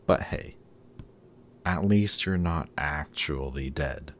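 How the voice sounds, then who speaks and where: Guy doing a really deep slow-talking villain for audio rp, snarky but secretly soft, bit of background noise. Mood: awkward, consoling. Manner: sardonic, hesitant when showing care. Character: gruff, guarded male supervillain, secretly caring, sardonic. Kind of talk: roleplay audio drama, addressed to listener.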